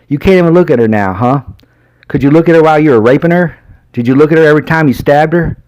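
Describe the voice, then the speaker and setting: A gruff older sheriff with a crew-cut bark, firing taunting, accusing questions at a suspect, as a male narrator puts him on. The voice sits higher and louder than a plain telling, hard and goading. It is a dark-toned, dry reading from a story narration. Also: normal speed, dry recording, lo-fi sound, close to an everyday voice. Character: gruff older male small-town sheriff, menacing interrogator.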